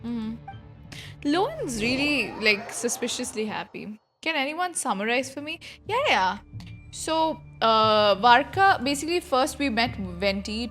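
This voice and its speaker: Female livestreamer, lightly high register, casual and chatty reaction commentary, soft music bed. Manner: informal, chatty, swearing. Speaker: streamer.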